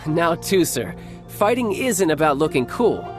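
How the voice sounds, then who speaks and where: A cocky young warrior captain. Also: male voice, a little high, very animated, measured pace, over loud music. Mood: brotherly. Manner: confident, playful, laughs easily. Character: cocky young male warrior, doting big brother. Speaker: military commander. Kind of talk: video game voice acting.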